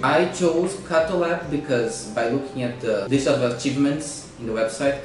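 A man in his thirties from Brazil, an assistant professor, answering interview questions in an earnest, eager way and restarting phrases as he searches for words. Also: mid-range voice. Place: bare room with grey wall. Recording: echoey room, voice distant from mic.

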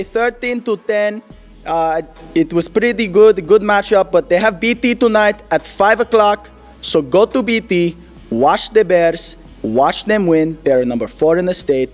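High-pitched goofy puppet sportscaster bro, voiced by a man. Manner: rambling, slangy, emphatic, filler-heavy. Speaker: sports segment host. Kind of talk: comic sports news commentary.

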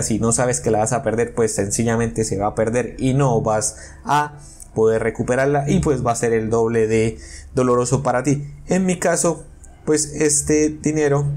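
A man's voice: fairly high pitch, darkish tone. A man narrates a screen recording, earnestly warning viewers and reading messages aloud with indignation. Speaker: YouTuber warning about an investment scam. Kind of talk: casual screen-recording commentary. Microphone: voice-over on a screen recording.

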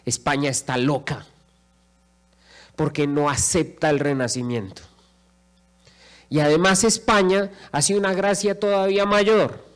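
A man's voice: on the high side, steady tone, a little slow, long pauses. A middle-aged man with a Colombian accent giving an impassioned speech in a large auditorium, speaking into a handheld microphone and repeating phrases for rhetorical emphasis. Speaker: lecturer.

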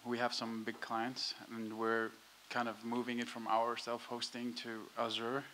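Flat man over a hissy mic. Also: mid-range voice, measured pace. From Iceland. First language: Icelandic. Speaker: audience member asking a question. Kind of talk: informal spoken question. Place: audience seats in a conference hall. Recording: hissy, noisy audience microphone.

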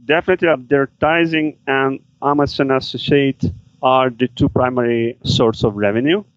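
A man answers questions in halting, careful English. The audio is dull and muffled, like a remote call, and very dry. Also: medium pitch, steady tone, no echo. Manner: halting non-native English with fillers. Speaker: guest, business seller. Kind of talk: interview answers.

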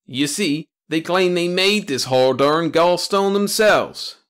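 A folksy old alien sage, played by a man in a comic storybook reading. He explains things in a homespun drawl, pitched a little above plain narration. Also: takes it slowly, a bit bright. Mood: folksy grumbling.

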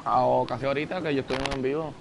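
Young male streamer, Puerto Rican accent, rapid trash talk, noisy voice chat. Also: mid-range voice, fairly even intonation, creaky. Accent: Puerto Rican Spanish. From Puerto Rico. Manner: fast, joking, trash-talking. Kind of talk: casual gaming chat.

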